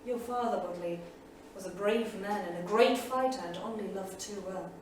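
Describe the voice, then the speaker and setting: A woman's voice: mid-range voice, a little mellow, natural voice. Woman acting a solemn saga heroine, very echoey. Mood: regretful. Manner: solemn, measured, poetic. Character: sorrowful, proud old saga heroine and solemn storyteller. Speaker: actor. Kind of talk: staged reading of poetic drama. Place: small theatre studio. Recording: distant, echoey theatre recording, faint noise.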